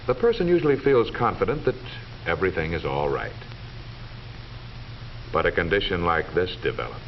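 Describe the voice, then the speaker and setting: Deep-voiced American man, vintage film narrator. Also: very animated. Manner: formal, measured, authoritative delivery. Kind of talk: 1940s scripted educational film narration. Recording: dull vintage 1940s film soundtrack, faint noise.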